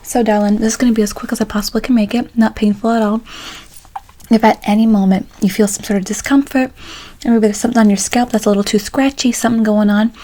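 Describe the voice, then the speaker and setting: A soft-spoken woman doing an ASMR roleplay as a folksy, chatty late-night barber, often slipping into a whisper. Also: a little high, steady tone, fast. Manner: folksy, chatty, calls listener pet names. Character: chatty, tired late-night female barber, sweet and sassy.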